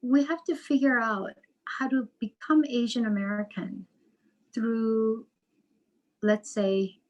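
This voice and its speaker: A woman author and psychotherapist answering interview questions in a measured, reflective way over a video call. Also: mid-range voice, lo-fi sound. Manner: slow, measured, thoughtful with repetitions.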